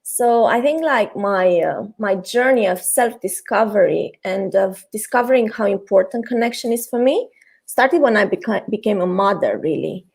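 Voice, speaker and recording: A woman's voice: medium pitch, animated, moderate pace, creaky, husky. A woman in her thirties from Romania, a guest on a video call interview, talking openly with light laughs and many fillers. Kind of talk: casual interview talk.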